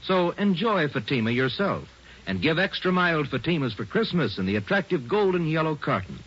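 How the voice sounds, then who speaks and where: A man reads a 1940s radio advertisement as a polished pitchman. His voice is fairly high with animated swings, on a dull old recording with faint music. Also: moderate pace, slightly rough. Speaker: commercial announcer.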